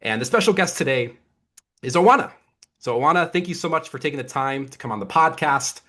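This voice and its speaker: Expressive, husky male podcast host. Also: fairly high pitch, fairly quick. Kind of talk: upbeat podcast interview.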